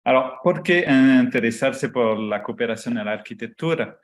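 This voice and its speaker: A man whose first language is Portuguese gives an online lecture over slides, speaking slowly and haltingly with many fillers. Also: medium pitch. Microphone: computer mic on a video call.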